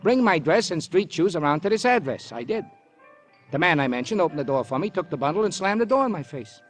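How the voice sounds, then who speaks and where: Eager American male informant, high-pitched, very lively intonation, vintage radio drama, faint music. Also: quick pace. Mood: earnest, helpful. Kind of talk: 1940s-50s American radio drama acting.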